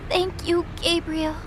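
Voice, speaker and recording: Woman acting very high-voiced, steadfast female medic. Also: background noise, full character voice. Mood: relieved, grateful. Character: gentle, protective female angelic medic, steadfast. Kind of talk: audio drama acting.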